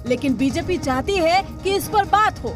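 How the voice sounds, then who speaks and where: A woman's voice: very high-pitched, lively intonation, normal speed, music in the background. A woman delivering a scripted television news report voice-over.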